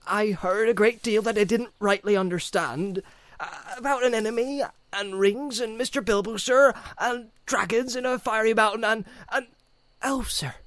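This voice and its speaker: Guy putting on a high nervous country gardener voice, stammers and begs, rustic talk. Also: normal speed, hardly any pauses, a bit bright, no room sound. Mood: eager. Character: timid rustic male gardener, anxious and eager.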